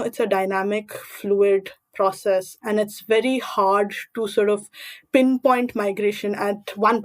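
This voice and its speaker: A young woman from India with an Indian accent, speaking as an interview guest in an articulate, reflective and explanatory way. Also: mid-range voice. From Delhi, India. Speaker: podcast guest, doctoral researcher.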